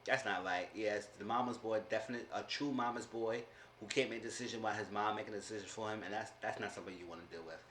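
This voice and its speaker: A man in his thirties casually recapping a reality show, telling the story with lively voices for the people he quotes. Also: very fast, roomy sound, slight background noise. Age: 30s. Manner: animated storytelling, acting out quoted people with big pitch jumps. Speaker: TV show reviewer.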